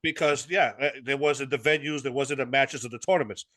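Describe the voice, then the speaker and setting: A man's voice: mid-range voice, brisk, bright, forceful tone. A man hosting a boxing interview show, asking questions in an enthusiastic, chatty way. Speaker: interview host.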